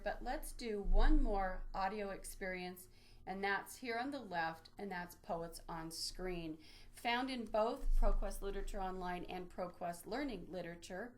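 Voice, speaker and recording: A woman with a mid-pitched voice leads an online training session. She talks through each step in an informal, explanatory way, as a presenter demonstrating a resource on screen. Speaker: librarian presenting a database tutorial. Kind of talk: instructional screen-share webinar walkthrough.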